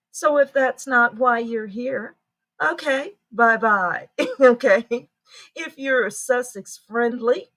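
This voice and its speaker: A woman's voice: fairly low pitch, rough recording. Chatty woman hosting a morning livestream. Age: middle-aged. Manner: rambling, chatty, laughs often, greets viewers by name. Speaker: livestream host. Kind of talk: casual livestream chat.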